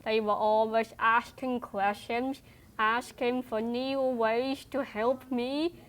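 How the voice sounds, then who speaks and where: A woman in her twenties telling her own story in a measured, reflective way. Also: on the high side. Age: 20s. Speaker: young adult sharing her life story. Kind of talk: personal testimonial to camera.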